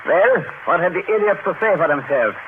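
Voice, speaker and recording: A short-tempered submarine captain barks scornful commands in a fairly high, very animated voice. It sounds like an old radio drama on a thin, narrow-band recording. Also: male voice, a little fast, noisy background. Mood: angry.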